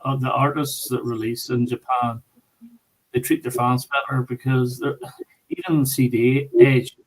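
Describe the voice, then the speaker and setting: A man's voice: mid-range voice, flat delivery, measured pace, low-quality recording. A man in a casual chat about record collecting, speaking in an opinionated, emphatic way.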